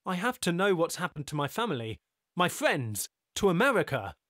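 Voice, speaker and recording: A man's voice: fairly high pitch, big pitch swings, moderate pace, a bit bright, dry recording, clean studio sound, pitched above the performer's own voice. A man voicing a homesick young American man, anxious and stubborn, pleading and rising to indignant outbursts. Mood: determined.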